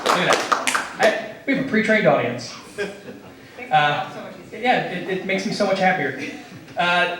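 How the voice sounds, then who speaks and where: Male theater player, casual talk, slightly high voice, distant and heavily echoing. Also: lively intonation, takes it slowly, pauses often. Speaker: radio theater group member. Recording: very echoey, distant, faint noise.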